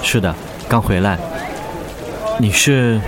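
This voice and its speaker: A low-voiced, world-weary singer. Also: male voice, slow pace, a crowd in the background. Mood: awkward, restrained. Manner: subdued, wistful, literary storytelling. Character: world-weary male pop singer, former bar band frontman. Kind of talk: Chinese audio drama acting and reflective narration. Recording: studio voice over prominent background music. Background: music.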